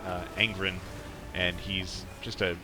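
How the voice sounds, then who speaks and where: A man's voice: low voice, little pitch movement, takes it slowly, over music. A man casually commentating on gameplay as a podcast host, in a rambling, hesitant way. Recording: clearly noisy background behind voice.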